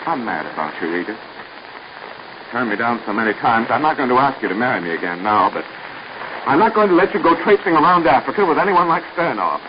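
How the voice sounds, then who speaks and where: Young male suitor, played by an actor, lovesick and impulsive, very animated delivery, vintage radio melodrama, heavy background noise. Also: mid-range voice, fast talker, lightly acted. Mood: lovesick, pleading. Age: young adult. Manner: earnest, excitable, stammering. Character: jealous, lovesick young suitor, hot-headed and earnest. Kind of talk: old-time radio drama acting. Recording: noisy, muffled old radio recording.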